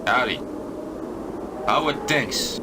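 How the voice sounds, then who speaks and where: A drawling, smug station clerk. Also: male voice, mid-range voice, expressive, slow pace, heavy background noise. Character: bored small-town male station clerk.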